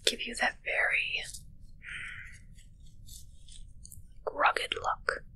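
A young woman playing a haughty, morbidly playful witch in an ASMR roleplay, whispering slowly and breathily in a musing, gently critical way close to the mic. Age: young adult. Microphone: close microphone, seen at close range.

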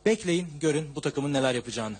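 A man's voice: fairly high pitch, animated, a little fast, a little mellow, breathy edge. A man interpreting a football coach's long answer at a press conference, talking steadily through a table microphone.

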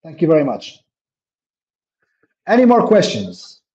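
A man's voice: huge pitch swings, a little slow, darkish tone. A man hosting a webinar over a video call, answering questions in hesitant full of fillers and restarts. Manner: hesitant, many fillers and repeated words; says his own voice is a little deep. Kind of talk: live webinar Q&A. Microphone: laptop mic on a video call. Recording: dull lo-fi call audio.

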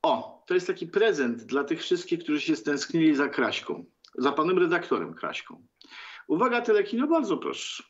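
A man in his sixties hosting a political talk show, commenting sarcastically with theatrical, halting delivery. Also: a little high, very animated, highs cut off. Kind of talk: sardonic political commentary to viewers. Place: book-lined room at home.

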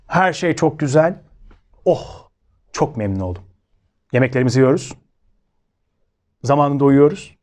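A man with a Turkish accent hosts story time for children in a lively, swooping delivery. His voice is soft-edged and a little higher than average. He talks straight to his young listeners as friends, asking questions, over a light music bed. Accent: Turkey Turkish. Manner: expressive, addresses listeners as friends. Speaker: children's storytelling show host.

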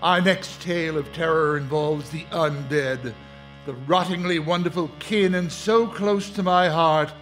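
A man's voice: a little high, steady tone, a little slow, fairly bright tone, soft background music, poor audio. A man hosting a live horror radio show in a florid, hammy, macabre manner. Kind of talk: theatrical horror-host introduction for live old-time radio.

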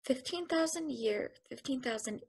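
A woman's voice: sits high, animated, normal speed, creaky, breathy edge, heavily acted. A woman voicing a naive, hopeful space probe, reading in a table read over a video call. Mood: hesitant. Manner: hesitant, then insistent.

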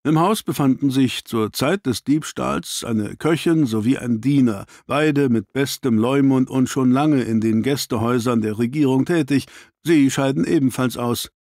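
A man voicing an earnest, well-informed gentleman doctor in an audiobook, formal and explanatory. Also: mid-range voice, moderate pace, no room sound, studio quality, lightly acted.

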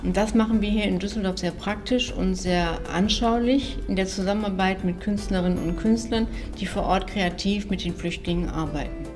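Brief: official in her sixties, measured delivery, music bed underneath. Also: medium pitch. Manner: measured, formal. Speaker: city cultural office official. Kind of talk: documentary interview statement. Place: indoor interview setting.

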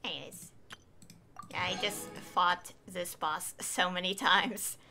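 A woman's voice: fairly low pitch, fairly even intonation, slow pace, sharp tone, faint music behind. A woman in her twenties giving casual, rambling, giggly commentary as a game streamer.

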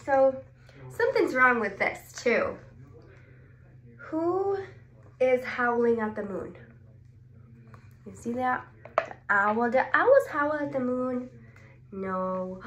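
A woman in her twenties reading a children's picture book aloud expressively, asking questions and answering them. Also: sits high. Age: 20s. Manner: expressive, exclaiming, asks questions and pauses before answering. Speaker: speech therapist. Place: small indoor clinic room. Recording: roomy echo, clear background noise.

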